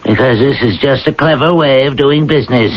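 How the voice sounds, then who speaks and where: A man's voice: mid-range voice, fairly quick, close to an everyday voice. Actor as a scornful, folksy townsman. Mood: dismissive. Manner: folksy, derisive. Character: scornful, plain-spoken townsman, mocking skeptic. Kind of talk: 1980s radio drama acting. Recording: dull old radio broadcast.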